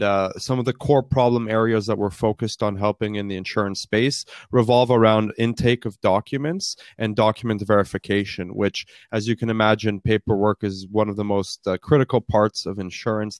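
A young man in his twenties with a deep voice, answering interview questions in a fluent, storytelling way with frequent fillers. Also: dry recording, clean studio sound. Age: 20s. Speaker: startup founder guest. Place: based in Canada.

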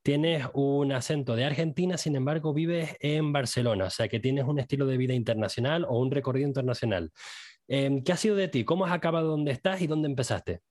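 A man's voice: mid-range voice, brisk, darkish tone, no echo, studio quality. A young man hosting an interview and asking probing follow-up questions. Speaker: podcast host. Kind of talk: interviewing, conversational.

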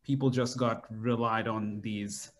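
A man's voice: lowish voice, steady tone, a little slow. A young man from Bangladesh, a neuroscience PhD student, answering interview questions with lots of fillers and "sort of". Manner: hesitant, many fillers and "sort of".

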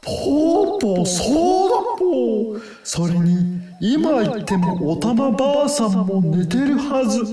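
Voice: medium pitch, big pitch swings, takes it slowly, hardly any pauses, warm tone, roomy sound, far from the mic, soft background music. An actor voicing a pompous, helpful elderly owl in an audio drama, talking in an old-fashioned way with hooting verbal tics. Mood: flustered, hooting. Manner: old-fashioned, ponderous, theatrical.

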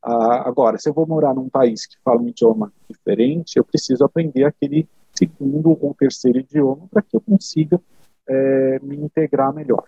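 Brazilian guy explaining stuff in an interview, kinda husky, mellow, dull lo-fi sound. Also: medium pitch, moderate pace, no room sound. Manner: explanatory, many fillers like "né" and "tá". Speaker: interview guest. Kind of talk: casual interview answers.

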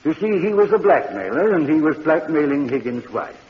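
A gentle old morgue keeper. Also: male voice, fairly high pitch, very animated, fairly quick. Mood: earnest. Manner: soft, kindly, earnest and patient. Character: gentle old male morgue keeper who talks with the dead. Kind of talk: 1940s radio drama acting. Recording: dull old radio recording in a reverberant studio, faint hiss.

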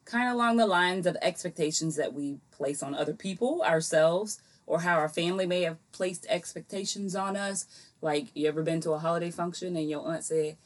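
Woman, somewhat low pitch, podcast co-host, playful, colloquial, casual chat. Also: brisk. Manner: playful, colloquial, acts out quoted remarks.

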